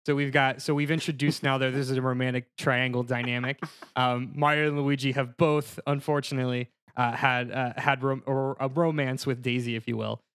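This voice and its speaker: Man narrating a comedic movie pitch, dry close sound. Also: level tone, fairly quick, fairly bright tone, clear, resonant tone, dry recording. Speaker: podcast panelist pitching a movie. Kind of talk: casual comedic story pitch.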